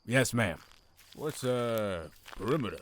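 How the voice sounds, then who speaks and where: A man's voice: medium pitch, expressive, takes it slowly, a little hiss or hum. A man playing an eager, dim-witted bandit henchman in a fantasy drama, with simple, folksy speech. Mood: puzzled. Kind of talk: fantasy audio drama acting.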